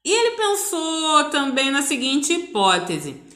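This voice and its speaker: A woman's voice: high-pitched, very animated, slow pace, a bit bright. A woman with a Brazilian accent giving a school lesson as a teacher, explaining didactically and repeating key points for emphasis. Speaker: physical education teacher. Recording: echoey, roomy sound.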